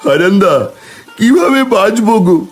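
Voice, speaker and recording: A man's voice: sits high, sing-song, moderate pace, soft background music, full character voice. A man voicing a poor, despairing village man in a cartoon, pleading plaintively with a Bengali accent. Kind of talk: cartoon dialogue acting.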